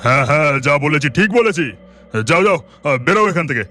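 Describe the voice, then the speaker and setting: A man's voice: a little high, animated, moderate pace, sharp tone, faint music behind. A man voicing a self-important, heartless rich village landlord in a cartoon, brusque and dismissive. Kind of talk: cartoon voice acting.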